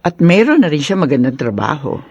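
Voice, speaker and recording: A woman's voice: low-pitched, big pitch swings, brisk, darkish tone, slightly rough, dry recording, dull audio, full character voice. A woman playing a doting, coaxing mother of a grown son in a Filipino radio drama. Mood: gentle urging.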